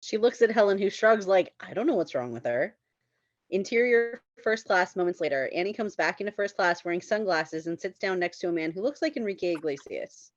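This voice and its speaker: A woman's voice: mid-range voice, lively intonation, brisk, no room sound, highs cut off. A woman reading stage directions as scripted narration at a table read.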